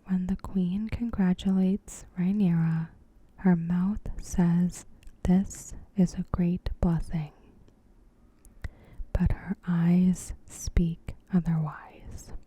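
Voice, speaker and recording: A woman narrating an ASMR recap in a soft, breathy whisper, gentle and measured. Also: fairly low pitch, little pitch movement.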